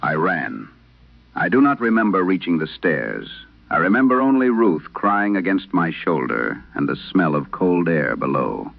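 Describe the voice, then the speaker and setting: A man narrating a vintage radio horror drama as its host, reading in a grave, deliberate, dramatic manner. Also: low-pitched, sing-song, vocal fry, dry recording. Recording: narrow-band vintage radio sound.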